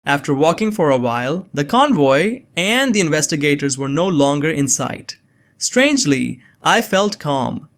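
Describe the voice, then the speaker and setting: A man's voice: on the high side, very animated, normal speed. A man playing an uneasy, earnest young man who narrates his own suspense story in a visual novel. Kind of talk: first-person scripted visual novel narration and dialogue.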